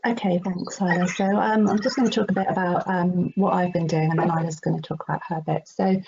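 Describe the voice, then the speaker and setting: A woman's voice: on the low side, steady tone, brisk, creaky. A woman giving an informal online presentation over a video call, conversational and a little hesitant. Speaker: presenter, researcher. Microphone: video call microphone. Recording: dull video call with background noise.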